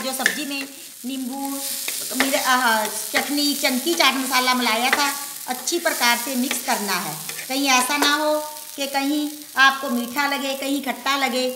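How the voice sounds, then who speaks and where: Woman cooking show host, high bright voice, walks you thru a recipe step by step at home, echoey kitchen w sizzling pan behind. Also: noisy background. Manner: homely step-by-step explaining with filler "ji".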